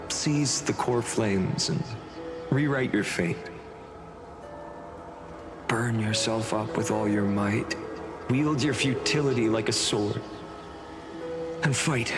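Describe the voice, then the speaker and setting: Slow, fervent male comrade. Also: mid-range voice. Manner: slow, declamatory. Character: grave male comrade urging a hero to defy gods. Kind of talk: dramatic game monologue.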